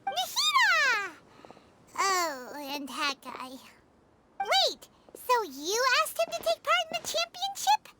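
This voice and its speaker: A woman voicing a small, excitable, curious fairy companion with a squeaky childlike voice in a video game. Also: takes it slowly, very noisy, exaggerated voice. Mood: surprised, questioning. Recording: loud music under voice.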